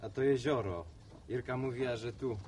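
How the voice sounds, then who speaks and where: A man's voice: medium pitch, normal speed, a little mellow, slight background noise, close to an everyday voice. A man playing a self-assured, talkative young townsman in a radio drama, explaining things earnestly with a touch of boasting. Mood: puzzled. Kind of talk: Polish radio serial acting.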